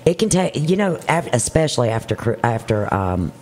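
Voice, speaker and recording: A middle-aged woman with a gravelly voice co-hosting a morning show, chatting casually and trailing off mid-sentence. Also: a bass voice, big pitch swings, a little fast, darkish tone, slight background noise. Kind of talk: casual chat. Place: small living-room style studio set, indoors.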